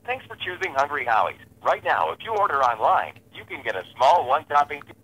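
A man's voice: fairly high pitch, sing-song, brisk, pushed and bright. A man reading a scripted promotional message for a pizza chain, heard through a phone. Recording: tinny phone recording over speaker. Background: played through a phone.

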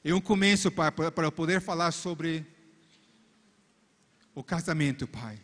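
A man's voice: on the high side, slow, fairly bright tone. A middle-aged man whose first language is English, speaking Portuguese on a large arena stage into a handheld mic, preaching and praying fervently at a church conference. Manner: fervent prayer repeating "Father" between phrases. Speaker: couple's coach. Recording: long reverb of a large hall.

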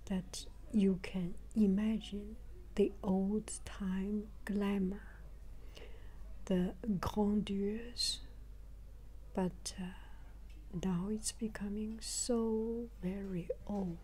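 Whispery, slow-paced older woman, halting. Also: mid-range voice, level tone, mellow, breathy edge. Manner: halting, searching for words, repeats phrases. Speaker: travel vlogger. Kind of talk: reflective vlog narration.

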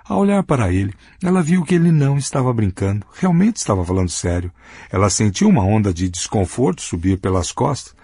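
A man with a husky voice reading a novel aloud as an audiobook narrator, giving characters small voice shifts in dialogue. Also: fairly low pitch, very animated, fairly quick, warm tone, dry recording, studio quality. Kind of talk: scripted narration.

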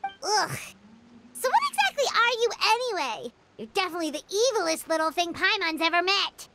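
A woman voicing a tiny, excitable, childlike fairy companion in a video game, squeaky and indignant. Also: fairly quick, very noisy. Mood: doubtful. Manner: squeaky, indignant, speaks in third person. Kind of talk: video game character acting.

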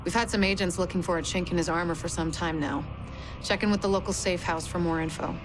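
A woman's voice: fairly low pitch, steady tone, fast talker, music in the background, close to an everyday voice. A woman playing a focused agent who delivers a businesslike, directive mission briefing in a video game. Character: focused female agent briefing the field team.

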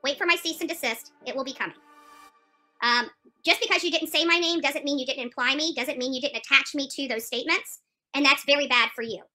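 A woman's voice: high voice, fairly quick, sharp tone, soft background music. A middle-aged woman ranting to viewers on a livestream in a confrontational, scolding manner. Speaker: livestream channel host. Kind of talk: indignant livestream rant to viewers. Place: small room indoors, seated on a couch.

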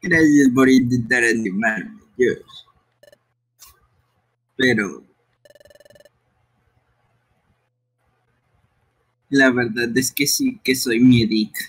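A man's voice: mid-range voice, slow pace, long pauses, a bit bright, breathy edge, poor audio. A man voicing a timid, earnest young fox-like creature in a live amateur game dub. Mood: apologetic. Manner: earnest, apologetic.

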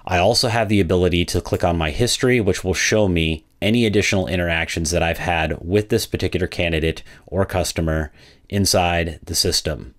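A man narrating a product walkthrough as a software demo presenter, in a methodical, explanatory way. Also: sits low, a little fast.

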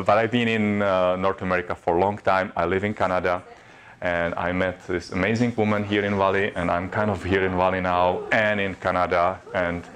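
A man in his forties with a Czech accent gives a chatty, self-mocking workshop talk in a classroom, his fairly low voice rising when a story gets lively. He is caught by a camera across the room rather than a close mic. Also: clean tone. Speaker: workshop presenter. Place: classroom in front of a projection screen. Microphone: far camera.

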